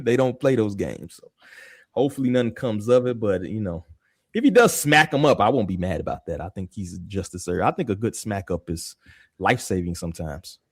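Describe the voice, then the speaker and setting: Animated New man, casual talk. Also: medium pitch, breathy edge, no room sound, clean studio sound. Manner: animated, street slang, frequent "you feel me". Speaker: podcast host. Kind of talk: casual chat.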